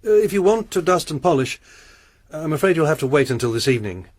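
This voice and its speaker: A courteous, pious lodger with a quick, light voice. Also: male voice, on the high side, very animated, no echo, studio quality. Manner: soft-spoken, courteous, formal. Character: polite pious male lodger, quietly unsettling. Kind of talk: radio drama acting.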